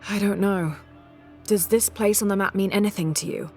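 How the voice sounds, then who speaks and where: Game archaeologist heroine woman actor: mid-pitched, measured, inquisitive and determined, over a music bed. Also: fairly even intonation, a little mellow, lightly acted. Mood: thoughtful. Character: determined female archaeologist adventurer, young, thoughtful and inquisitive. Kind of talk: video game cutscene acting. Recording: music under the voice.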